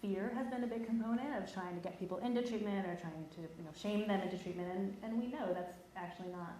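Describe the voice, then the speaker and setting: A woman mental health professional calmly explaining on a panel. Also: medium pitch, fast. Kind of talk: panel discussion. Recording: echoey room with faint background noise.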